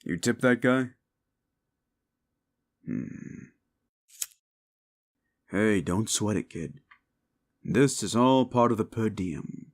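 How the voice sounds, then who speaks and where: A man playing a gruff, world-weary demon agent who hunts monsters, with a terse, laid-back, wry drawl, in an action-horror audio drama. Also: on the low side, expressive, takes it slowly, long pauses, full character voice. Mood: relaxed, wry.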